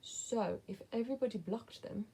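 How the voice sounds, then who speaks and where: A woman's voice: fairly high pitch, moderate pace, warm tone, slightly rough, poor audio. A woman in her thirties with a West Midlands accent, chatting casually to camera as she answers viewer questions, blunt and with whispered asides. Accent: West Midlands English. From England.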